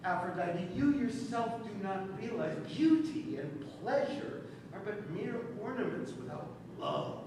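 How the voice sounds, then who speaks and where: An actor playing a mocking god of satire in a stage play, speaking in a grandiose, sardonic, declamatory way. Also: male voice, sits high, animated, a little slow, hardly any pauses. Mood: sly challenge. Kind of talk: projected live stage play acting. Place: theater stage, recorded from afar. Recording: distant, echoey theater recording with background noise.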